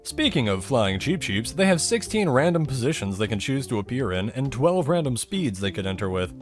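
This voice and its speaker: A man's voice: medium pitch, big pitch swings, normal speed, faint music behind. A man delivering scripted explainer narration as the host of a video game breakdown, methodical and dryly humorous.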